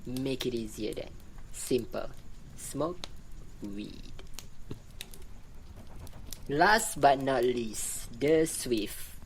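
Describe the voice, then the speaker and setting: A callous fake psychiatrist in an amateur radio drama, acted out by a performer with a mid-pitched voice. The delivery is slow and mocking, dragging words out to taunt. There is faint noise on the recording. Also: rough recording. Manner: taunting, flippant, drawn-out words. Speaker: group therapy leader.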